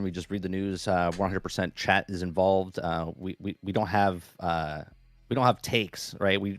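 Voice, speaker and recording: A man's voice: sits low, no room sound. A man guesting on a gaming podcast, talking casually with rhetorical questions and stop-start phrasing. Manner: hesitant, many fillers and restarts, rhetorical questions. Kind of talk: casual gaming news chat.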